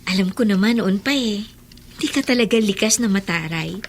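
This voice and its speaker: An actress in a Filipino radio drama playing a kind, forgiving schoolgirl older sister, gentle and reassuring. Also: medium pitch, lively intonation, moderate pace.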